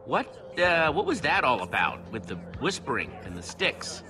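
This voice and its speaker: Lively, direct young adventurer. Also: male voice, fairly high pitch, normal speed. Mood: suspicious. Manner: casual, questioning. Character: plucky, direct young male adventurer hero. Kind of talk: animated game voice acting. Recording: loud music behind the dialogue.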